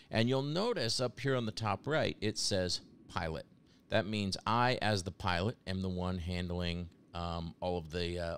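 A man narrating a casual software demonstration in a conversational, explanatory way. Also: on the low side, clear, resonant tone, no echo. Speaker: software demo presenter.

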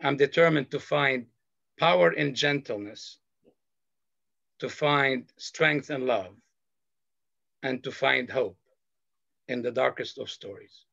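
A middle-aged man from Lebanon, a panelist sharing a personal story in a slow, earnest, measured way over a video call. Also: medium pitch, creaky, sharp tone, no echo. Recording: dull video call audio.

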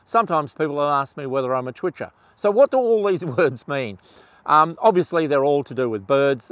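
An older man, an ornithologist talking to camera outdoors on a lapel mic in a relaxed, conversational way with fillers. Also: medium pitch, dry recording. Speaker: ornithologist and presenter. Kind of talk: explainer talk to camera. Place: outdoors among trees.

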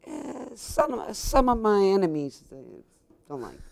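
A woman's voice: mid-range voice, very animated, slow pace, vocal fry. An older woman with an Italian accent, chatting casually while cooking, emphatic and prone to repeating herself. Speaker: cooking show co-host. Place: home-style kitchen, indoors.

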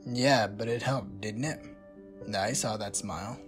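A woman voicing a calm, dry teenage boy in a fan comic dub, talking in a flat, reassuring way. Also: a bass voice, unhurried, faint music behind.

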